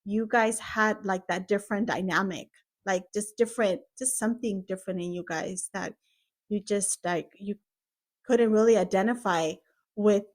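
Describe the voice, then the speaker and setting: A woman who grew up in California, hosting a casual podcast chat, talking warmly and switching into Spanish now and then. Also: mid-range voice, moderate pace. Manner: chatty, laughs often, switches into Spanish. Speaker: host.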